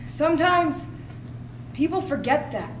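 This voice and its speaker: A woman acting as a frustrated cop on the defensive, emphatic and insistent. The voice is very high, in a stage-drama style, caught from far off in an echoey room. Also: big pitch swings, measured pace, slight background noise. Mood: angry, defensive. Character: defensive, frustrated police officer justifying following the rules. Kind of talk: dramatic readers theater monologue.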